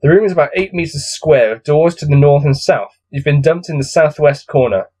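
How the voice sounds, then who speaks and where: A man's voice: fairly high pitch, fairly quick, a little mellow, breathy edge. A man reading a gamebook aloud as host of a let's-play, musing to himself with casual, self-deprecating asides.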